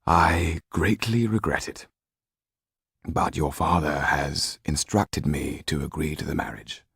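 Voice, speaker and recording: A deep, husky old lawyer as a male reader plays him, tired, anxious and regretful. The delivery is grave and hesitant, in a storybook reading recorded close and dry. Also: takes it slowly, fairly bright tone, dry recording, exaggerated voice. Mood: grave, apologetic. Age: older. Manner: hesitant, grave, low-voiced.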